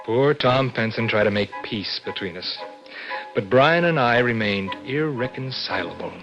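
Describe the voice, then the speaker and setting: An actor playing a cocky, scheming young American law student who also narrates an old-time radio drama. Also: male voice, medium pitch, lightly acted. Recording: dull vintage radio recording with music.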